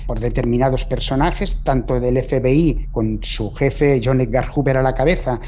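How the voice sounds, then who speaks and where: A man's voice: medium pitch, steady tone, normal speed, darkish tone. A man explaining as an expert interviewee, posing rhetorical questions. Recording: narrow dull sound, light background noise.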